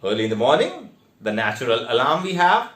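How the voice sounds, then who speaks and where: A man with an Indian accent giving a theatre lesson in a deliberate, step-by-step way with emphatic stressed words. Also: medium pitch, sing-song, fairly bright tone. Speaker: theatre teacher. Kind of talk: instructional online lesson with demonstrations. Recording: echoey, reverberant room.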